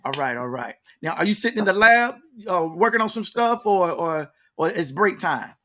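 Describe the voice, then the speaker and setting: A man with a fairly high-pitched voice hosts a casual interview. He talks in a folksy, hyping way and likes to repeat words for emphasis. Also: lively intonation, normal speed, bright, forceful tone. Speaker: interview show host. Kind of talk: casual talk-show interviewing.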